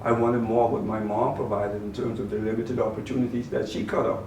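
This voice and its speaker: A man with a Bahamian accent, a guest in an interview, answering in a measured way and often repeating words as he gathers his thoughts. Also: medium pitch, level tone, darkish tone. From Turks and Caicos Islands, raised in the Bahamas. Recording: roomy, distant mic with faint noise.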